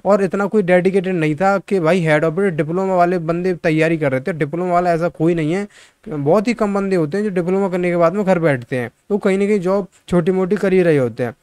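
A young man talks casually to his viewers. His voice sits a little high and is very clean and smooth. He is close to the microphone, with a dry sound and frequent conversational check-ins. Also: fast talker, darkish tone, dry recording. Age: 20s. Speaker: YouTube job-news presenter. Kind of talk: casual informal update to viewers. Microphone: close microphone in front of mouth.

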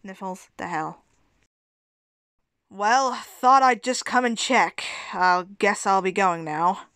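A blunt male animal handyman from a cartoon comic dub, played by a woman. The voice is clear and dry-sounding, with little room in it. The delivery is offhand and a little slow. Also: mid-range voice, fairly bright tone, dry recording. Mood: nonchalant. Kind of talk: amateur comic dub acting.

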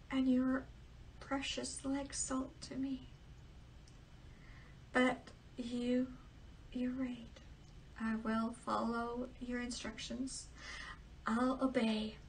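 Casting: woman as a soft-spoken humble princess, hushed and slow. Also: high-pitched, a little hiss or hum. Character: gentle youngest princess, humble and sincere. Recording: distant mic.

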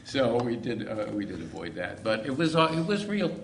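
A man's voice: mid-range voice, big pitch swings, moderate pace. An older man with a casual way of talking, reminiscing as a guest in a live panel interview. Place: on stage in a hall. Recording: echoey stage, faint noise.

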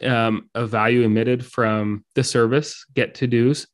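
A man with a dry throat from a cold, explaining code during a live screen-share talk, with many pauses and restarts. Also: medium pitch, little pitch movement. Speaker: meetup speaker.

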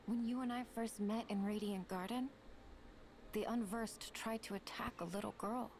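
An actress playing a gentle, composed young woman warrior in a game, speaking softly and earnestly. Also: a little high, fairly even intonation, moderate pace, a little hiss or hum, lightly acted. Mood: warm, reassuring. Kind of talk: dramatic game cutscene acting.

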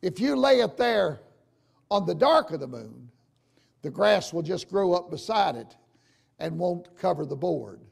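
An older man with a gravelly, raspy voice, preaching a folksy, emphatic sermon to his congregation. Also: sits high, sing-song, unhurried. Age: older, 60s or 70s. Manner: emphatic, folksy, addressing listeners directly with questions. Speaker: preacher, church bishop. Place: church sanctuary, at the pulpit.

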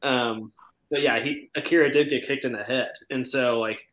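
A man's voice: mid-range voice, steady tone, moderate pace, a bit bright, a little hiss or hum. A man co-hosting a casual soccer podcast over a video call, speaking in a tentative, conciliatory way. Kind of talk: casual sports discussion. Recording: roomy, echoey call audio.

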